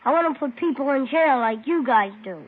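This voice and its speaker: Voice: high-pitched, animated, brisk, narrow, tinny audio. A performer playing an eager, boastful six-year-old boy with an earnest, childlike manner in an old radio drama. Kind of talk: 1950s radio drama acting. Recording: thin, band-limited old radio recording.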